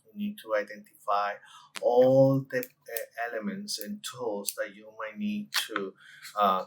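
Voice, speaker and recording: Man, 40s, from Colombia, lowish voice, explanatory, hesitant with fillers. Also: a bit bright, rough recording. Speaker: graphic and interior designer presenting a conference session. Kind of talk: conference presentation, walking through software.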